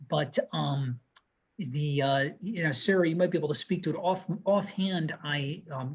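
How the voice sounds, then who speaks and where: A man in his thirties co-hosting a museum talk over a video call, walking viewers through artworks in a measured, descriptive way. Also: on the high side, husky, dull audio. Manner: measured, descriptive, pointing out details. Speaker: museum co-host presenting artworks. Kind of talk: art history talk over slides. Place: room with bookshelves.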